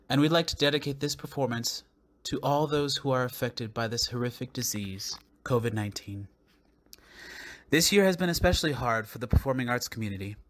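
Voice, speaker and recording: A man with a medium-pitched voice delivers a scripted announcement. He speaks as an artistic director addressing listeners at the close of a show. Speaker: theater producing artistic director. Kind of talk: scripted closing announcement and appeal.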